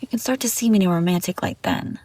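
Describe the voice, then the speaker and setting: A woman's voice: lowish voice, quick pace, a little mellow, no room sound. A woman playing an obsessive, scheming young babysitter in an intimate audio roleplay, pleading and defensive. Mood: hurt, hushed. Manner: pleading, defensive, hesitant then insistent. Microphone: close, dry microphone.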